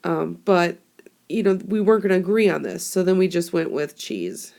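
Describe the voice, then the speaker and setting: A woman's voice: fairly low pitch, animated, normal speed, creaky, darkish tone. A young woman teacher with a cold, giving a casual, chatty recorded history lesson and explaining with fillers into a tablet microphone. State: hoarse from a cold. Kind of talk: classroom screencast lesson.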